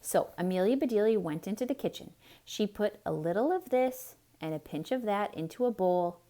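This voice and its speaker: A woman storyteller reading aloud theatrically for children, pausing to explain things simply and ask questions. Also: mid-range voice, animated, dark tone. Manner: explains simply, chatty asides. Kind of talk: theatrical read-aloud, asking young listeners questions.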